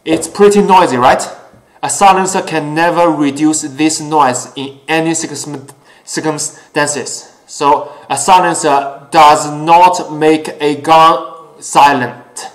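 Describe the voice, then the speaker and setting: A man whose first language is Chinese hosts his own talk show, speaking earnestly with many pauses and fillers. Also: on the high side, fairly even intonation. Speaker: host. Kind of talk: casual solo talk show. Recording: echoey room.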